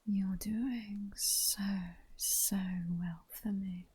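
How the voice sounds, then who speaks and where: A seductive vampire queen, acted out by a woman in whispered ASMR roleplay. Her British-accented voice is very breathy and soft, with a dark, mellow tone. She teases and commands at a slow, sultry pace. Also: mid-range voice, steady tone, full character voice. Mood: praising, seductive. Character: seductive, mocking vampire queen toying with her captured hunter.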